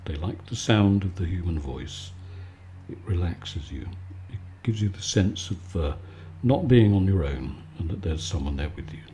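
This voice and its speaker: A man giving a calm, soothing, conversational bedtime chat in a relaxed ASMR style. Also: sits low, moderate pace, a little hiss or hum. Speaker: video maker and host.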